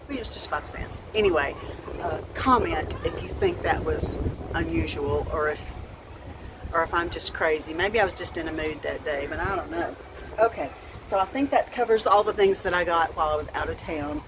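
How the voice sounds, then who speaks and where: A woman's voice: low-pitched, normal speed, noisy background. A woman in her fifties, chatting casually about her thrift finds, rambling with frequent restarts. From United States. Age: 50s. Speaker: thrift haul vlogger. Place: at home.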